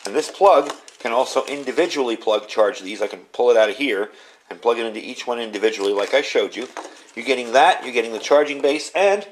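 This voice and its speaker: A man's voice: mid-range voice, a little fast, slight background noise. A man giving a casual, unscripted product review in a chatty, conversational way.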